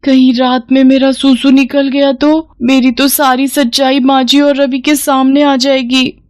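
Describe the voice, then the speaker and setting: A woman voicing a meek, anxious young daughter-in-law in an animated moral story, timid and earnest. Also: sits high, a little slow, pitched above the performer's own voice.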